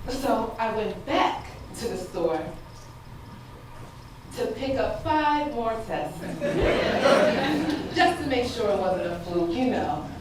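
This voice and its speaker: Casting: actress as heartfelt wife on stage, slow dramatic storyteller, distant in a very echoey hall. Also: female voice, on the high side, sing-song. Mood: joyful, amazed. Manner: deliberate, dramatic storytelling with repeated phrases. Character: hopeful, emotional wife recounting her long-awaited first pregnancy. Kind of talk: theatrical stage monologue. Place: stage in a theater. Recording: very echoey stage, distant mic, audible room noise.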